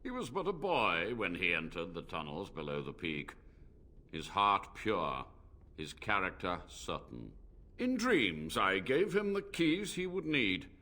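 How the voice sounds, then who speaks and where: Imperious ancient mage, sharp and theatrical. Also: male voice, deep voice, sing-song, unhurried, exaggerated voice. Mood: wry, reminiscing. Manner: imperious, archaic formal phrasing. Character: frail, ancient, haughty mage obsessed with forbidden research. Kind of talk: fantasy video game acting.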